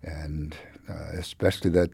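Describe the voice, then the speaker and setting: A middle-aged man giving conversational political commentary as a panelist, frank and measured with some hesitation. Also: extremely low pitch, fairly even intonation, vocal fry, dark tone, breathy edge, no echo. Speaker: newspaper journalist as panel commentator. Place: radio studio.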